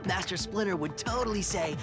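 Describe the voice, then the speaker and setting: A man's voice: sits high, brisk, lightly acted. A man voicing a goofy, playful teenage mutant turtle in a cartoon video game, slangy and teasing. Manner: laid-back, teasing, slangy. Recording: clear music behind the voice.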